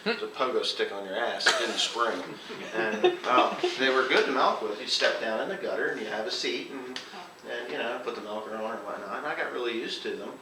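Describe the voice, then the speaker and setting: A man who farms, telling rambling, casual stories among friends in a folksy, colloquial and animated way. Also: mid-range voice, a little fast. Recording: roomy, distant mic, noisy background.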